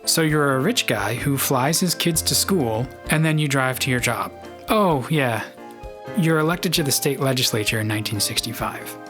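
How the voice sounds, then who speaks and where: A man's voice: mid-range voice, expressive, moderate pace. A man narrating a scripted podcast story in a wry storytelling manner. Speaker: podcast host. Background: loud plucked guitar music.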